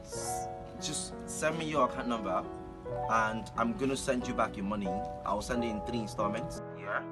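An actor playing an exasperated, dismissive boyfriend abroad in a phone-call drama, speaking emphatically and impatiently. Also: male voice, lowish voice, normal speed, loud music behind the voice, natural voice. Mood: firm, dismissive. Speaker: actor in a comic relationship drama.

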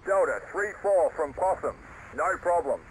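A man's voice: a little high, animated, normal speed, slight background noise, lightly acted, rough recording. A man playing an easygoing Australian serviceman giving assured radio replies in a war game. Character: easygoing Australian military radio operator confirming support.

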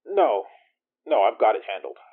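A man's voice: on the low side, very animated, normal speed, slightly rough. A man playing a terse investigator in a horror audio drama, with natural, everyday delivery. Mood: tense, terse. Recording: thin phone-line sound.

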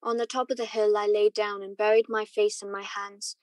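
A woman playing a grieving, remorseful man who narrates his memories haltingly in radio drama style. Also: medium pitch, flat delivery, a little mellow, lightly acted. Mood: grief-stricken. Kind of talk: 1940s radio drama acting.

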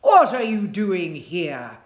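A gruff, selfish storybook giant, acted out by a woman reader. He speaks slowly and loudly, weighting each word with possessive force. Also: fairly low pitch, sing-song, reverberant. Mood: gruff, angry. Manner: gruff, slow, emphatic.

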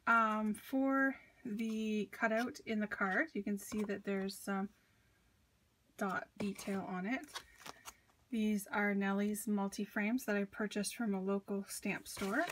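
A woman's voice: medium pitch, a bit bright, a little hiss or hum. A congested woman showing her handmade cards at her craft desk, chatty with fillers and small laughs. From United States. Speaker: card-making crafter. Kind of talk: casual craft show-and-tell.

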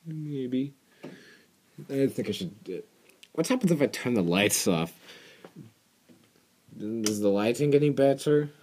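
A man's voice: mid-range voice, darkish tone. A young man in a small bedroom, rambling and joking through a cartoon reaction video on an earbud mic, with mumbled asides and swearing. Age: young adult. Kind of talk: casual cartoon reaction commentary. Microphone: earbud headset mic.

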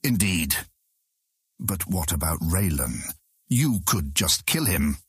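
Man voicing a gravelly, sly, deferential lord. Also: deep voice, animated, takes it slowly, a bit bright, no echo, studio quality, exaggerated voice. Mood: cool. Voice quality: very raspy. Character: sly male lord feigning humble, loyal simplicity. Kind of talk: audiobook character acting.

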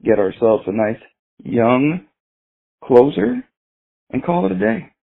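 Raspy male caller on the phone. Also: mid-range voice, very animated, slow pace. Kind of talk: casual fan voicemail. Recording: narrow phone line.

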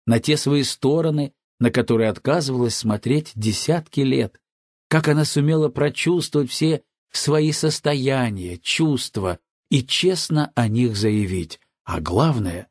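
A man narrating an audiobook from a script in a measured, earnest way. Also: medium pitch, a little mellow, no echo, clean studio sound.

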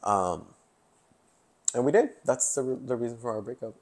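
A man's voice: mid-range voice, moderate pace, darkish tone. A man in his early twenties hosting a podcast in casual chat, chatty with lots of fillers and laughs, recorded on a lapel mic in a living-room set. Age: 20s. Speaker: podcast host.

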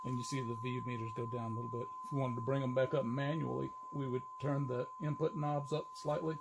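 An older man giving an informal, hands-on equipment demonstration, explaining step by step in a halting, methodical way. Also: medium pitch, level tone, vocal fry. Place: indoor room full of audio gear. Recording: loud noise and electrical hum.

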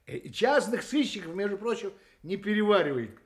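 An actor in a radio play voicing a gossipy, cynical, hard-drinking hotel detective who mutters, chuckles and rambles. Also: male voice, high-pitched, expressive, normal speed. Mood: animated, indignant. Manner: rambling, muttering, chuckling, full of interjections and tag questions. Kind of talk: Soviet-style radio play acting.

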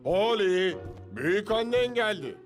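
A man's voice: high-pitched, big pitch swings, a little slow, faint music behind. A man voicing a mildly exasperated family man in a children's cartoon dub. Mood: uneasy.

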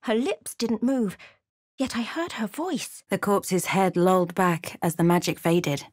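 Woman acting as female adventurer, video game character, thoughtful, measured, dramatic delivery, mid-range pitch, close dry sound. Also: a little mellow, no echo, close to an everyday voice. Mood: hushed, tense narration. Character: thoughtful adventurer woman recounting her journey. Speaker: voice actor for a player character. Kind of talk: scripted game narration and character lines.

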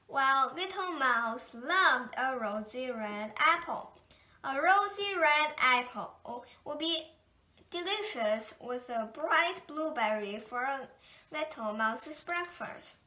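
A woman reading a children's picture book aloud in a gentle, unhurried storytelling manner. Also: sits high, expressive. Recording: echoey room.

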